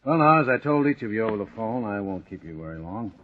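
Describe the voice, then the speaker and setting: An actor playing a calm, shrewd police chief inspector who talks unhurriedly and hesitantly in a 1940s radio drama. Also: male voice, fairly low pitch, fast, slight background noise, natural voice. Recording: muffled lo-fi old radio.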